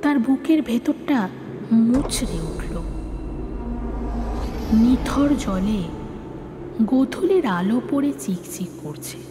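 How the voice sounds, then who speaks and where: Female narrator, for suspense fiction: high-pitched, dramatically animated, reverberant over music. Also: slow pace, pauses often. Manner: suspenseful, repeats phrases for effect. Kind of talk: dramatic scripted ghost-story narration. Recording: long reverb, prominent music bed.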